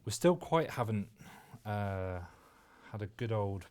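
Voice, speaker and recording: Calm, soft-spoken British man, low voice. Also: slow pace. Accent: British English. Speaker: sewing pattern designer guest. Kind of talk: casual demonstration chat.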